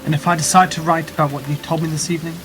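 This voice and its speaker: A sceptical, guarded writer played by a male actor in a radio drama. His voice is somewhat high for a man, with a dry, challenging way of putting questions. Faint music plays behind him. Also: little pitch movement, rapid-fire, a bit bright, natural voice. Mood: defiant. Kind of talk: radio play dialogue.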